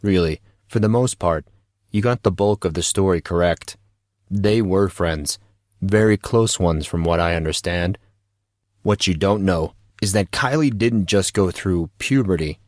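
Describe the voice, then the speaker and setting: A man's voice: deep voice, unhurried, no room sound, clean studio sound. A man playing a big, easygoing teenage boy who explains things patiently with a knowing, lightly teasing manner in an audio story. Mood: explanatory.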